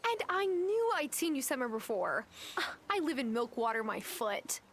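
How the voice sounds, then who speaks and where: High, lively plucky young witch. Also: normal speed, a little hiss or hum. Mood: wry, nervy. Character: plucky young woman newly become a witch. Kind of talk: video game voice acting.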